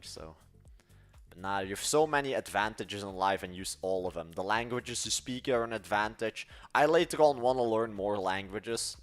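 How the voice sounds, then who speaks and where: A young man with a Dutch accent talks in a fairly low voice, loose and chatty, often restarting his sentences mid-thought. Faint music plays quietly behind him. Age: young adult. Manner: rambling, self-correcting, chatty. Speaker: livestream host. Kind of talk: casual livestream chat.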